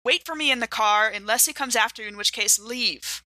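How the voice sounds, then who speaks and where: A woman's voice: a little high, brisk, sharp tone, no room sound. A woman playing in an improvised tabletop role-playing show, conversational and thinking aloud. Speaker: player in a role-playing game. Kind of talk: casual improvised tabletop actual play.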